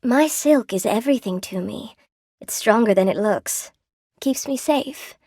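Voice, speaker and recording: An actress voicing a gentle, lonely spider-woman shopkeeper in a video game, polite and sweetly attentive, with old-fashioned genteel phrasing. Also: on the high side, no room sound, clean studio sound. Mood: tender, eager. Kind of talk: video game character voice acting.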